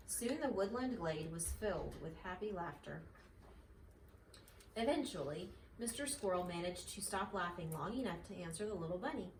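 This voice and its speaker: Mom reading a kids story out loud, medium pitch, echoey room, a little noise behind. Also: female voice. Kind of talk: children's story read-aloud. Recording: echoey, slightly distant, faint noise.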